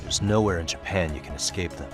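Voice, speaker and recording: An actor playing a cool, confident detective in a video game cutscene, wry, blunt and challenging. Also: male voice, deep voice, quick pace, music in the background, lightly acted. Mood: grimly determined. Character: cool, confident male private detective, dogged and sardonic.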